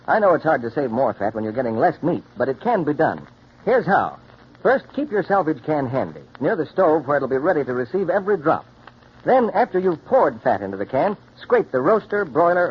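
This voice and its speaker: Animated, husky male radio announcer. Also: a little high. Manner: persuasive, instructive. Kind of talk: 1940s scripted public service announcement. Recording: dull old radio recording.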